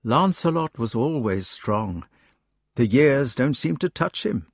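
A gentle, fatherly old king, as a male reader voices him, talking in a soft, soothing way with a lively rise and fall. His husky voice is slow and recorded dry and close. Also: medium pitch, dry recording. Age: older.

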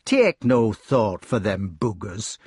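A man's voice: fairly low pitch, very animated, takes it slowly, a little mellow, breathy edge, no room sound, clean studio sound, heavily acted. A man voicing a small, indignant, vulgar hedgehog in a storybook, with a rustic English country dialect and a truculent, folksy, sarcastic manner. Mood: gruffly tender. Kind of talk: audiobook character voice.

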